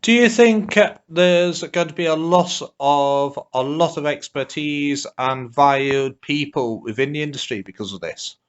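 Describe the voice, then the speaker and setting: A man's voice: measured pace, few pauses, clean tone. A man asking questions as the interviewer in a phone interview. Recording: muffled, low-fidelity interview recording.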